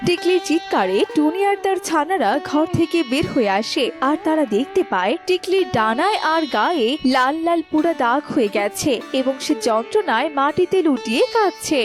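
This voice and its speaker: A woman's voice: high-pitched, lively intonation, moderate pace, hardly any pauses, over music. A woman reads scripted children's story narration.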